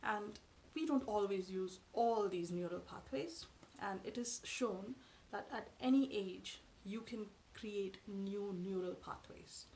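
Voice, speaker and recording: A woman in her thirties whose first language is Tamil, talking to camera from a sofa in her living room in a measured, thoughtful way with frequent pauses. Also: medium pitch, darkish tone, slight background noise, rough recording. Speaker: vlogger. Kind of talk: explanatory talk to camera. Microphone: camera in front at close range.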